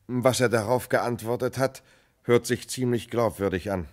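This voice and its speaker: A man's voice: medium pitch, steady tone, normal speed, natural voice. An actor playing a matter-of-fact police lieutenant in a radio crime drama, explaining a case to his superior. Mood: explanatory.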